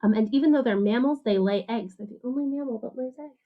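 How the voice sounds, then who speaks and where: A woman teacher reading a picture book aloud to children in an animated, sing-song way, with simple, casual explanations. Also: on the high side, fast, narrow, tinny audio.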